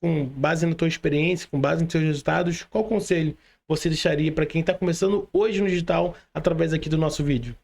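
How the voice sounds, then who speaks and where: A man's voice: a little high, steady tone, fairly quick, creaky, hardly any pauses, a little mellow. A Brazilian man hosting an online interview in informal, slangy speech, often adding little tag questions. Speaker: podcast host.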